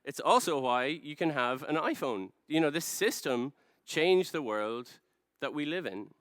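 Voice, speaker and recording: Clear-voiced Irish man giving a talk. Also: fairly high pitch, animated, unhurried, a bit bright, no echo, clean studio sound. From Ireland. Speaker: conference speaker, product design director. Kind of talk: rehearsed conference presentation. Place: large dark stage, indoors.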